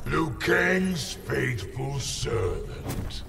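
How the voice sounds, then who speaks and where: An actor playing a brutal, scornful warlord emperor in a video game, sneering and domineering in a harsh, theatrical growl. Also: male voice, mid-range voice, very animated, extremely slow, heavily acted. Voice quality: harsh, gravelly. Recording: echoing game audio under loud music.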